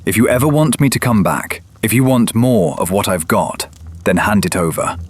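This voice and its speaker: Low, mocking Roman schemer. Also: male voice, expressive, normal speed, breathy edge, no echo. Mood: demanding. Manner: mocking, theatrical delivery. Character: cynical, cruel, scheming Roman patrician man. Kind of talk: audio drama acting.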